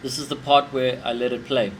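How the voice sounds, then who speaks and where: A young adult man talking casually to himself through a demonstration, thinking aloud in short, halting fragments. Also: medium pitch, flat delivery, a little hiss or hum, rough recording. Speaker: amateur vlogger.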